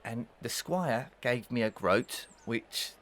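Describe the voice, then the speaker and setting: An actor playing a humble, muddled village witness in an audio melodrama, halting and stammering as he rambles. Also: medium pitch, expressive, fairly bright tone. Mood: nervous, muddled. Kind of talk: melodrama audio play acting.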